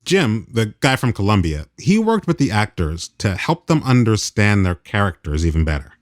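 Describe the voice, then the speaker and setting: A man's voice: lowish voice, big pitch swings, normal speed. A man hosting a radio program, mixing scripted narration with a conversational delivery. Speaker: radio host.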